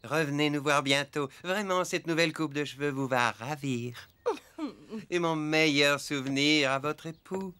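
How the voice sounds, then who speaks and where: A man's voice: a little high, measured pace, pushed and bright, smooth. A man voicing a fussy, mannered shopkeeper in a children's cartoon. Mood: obsequious. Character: fussy, courteous male shopkeeper, easily exasperated.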